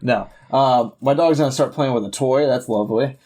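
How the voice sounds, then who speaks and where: A man's voice: mid-range voice, moderate pace, mellow. A man co-hosting a casual podcast, with a nasal voice, who rambles, laughs often and swears casually. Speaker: podcast co-host. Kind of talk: casual chat. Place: home. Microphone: podcast microphone.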